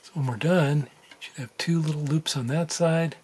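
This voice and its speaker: A man's voice: fairly high pitch, moderate pace, a little mellow. A man giving a casual hands-on tutorial, thinking aloud informally as he works at a bench indoors. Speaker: tutorial host. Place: indoors at a workbench. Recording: noticeable room background noise.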